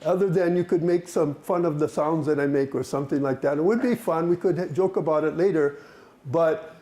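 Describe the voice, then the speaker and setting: A man's voice: a little high, a little fast, warm tone. A man leading a workshop session, talking animatedly, laughing and asking the group questions. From Hawaii. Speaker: workshop presenter. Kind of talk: interactive workshop talk.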